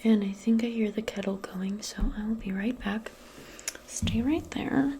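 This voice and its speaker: A woman murmurs in a soft, breathy voice that often drops to a whisper. Her tone is dark and mellow, and she takes her time. She plays a modern witch in a casual, gentle ASMR personal attention session, with faint ambient noise behind her. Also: a little high, unhurried. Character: modern witch giving a calming spell appointment. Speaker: ASMR role-play host.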